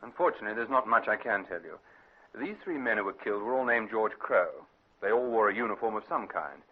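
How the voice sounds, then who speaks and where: An authoritative English detective with a lively, varied delivery. He speaks in clipped, decisive phrases, quickly and matter-of-factly. The sound is a dull vintage radio drama recording. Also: on the low side. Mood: businesslike. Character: brisk, authoritative British male private detective. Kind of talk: classic British radio drama acting.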